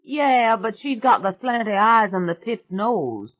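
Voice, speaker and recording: Brash country girl, as a woman puts her on, high and loud, lively swoops, tinny phone-like sound. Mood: brash chatter. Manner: loud, gushing, rapid chatter. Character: brash young Southern swamp-country woman.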